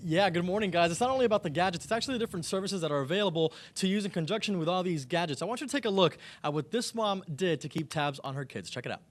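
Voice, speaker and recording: Guy doing live morning tv demo, upbeat and chatty, talks really fast, highish voice. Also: expressive. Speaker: live field reporter for a morning TV show.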